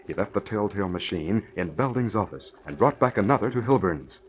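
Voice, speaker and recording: Deep-voiced radio serial narrator played by a man. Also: expressive, brisk, close to an everyday voice. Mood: dramatic. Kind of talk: scripted narration, 1940s radio. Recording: muffled vintage radio recording.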